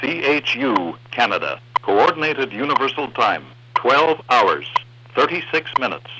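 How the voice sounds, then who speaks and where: Through heavy shortwave static and clicks comes a man's voice, formally announcing the time. He speaks in measured, clipped phrases with a lively rise and fall, at a medium pitch. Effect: shortwave radio transmission. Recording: noisy shortwave radio reception, clicks.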